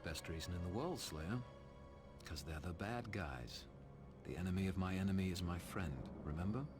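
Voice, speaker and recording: An actor playing a cynical, cocky English vampire in a video game cutscene, sardonic and full of slang. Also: male voice, deep voice, normal speed, over music, natural voice. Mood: scheming, wry. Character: cynical, cocky British male vampire, roguish reluctant ally.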